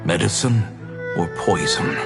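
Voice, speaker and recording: A man playing a solemn, grieving old master healer in a video game, grave and halting as he reflects. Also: deep voice, gravelly. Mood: anguished, solemn. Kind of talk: dramatic video game monologue. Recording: loud music behind the voice.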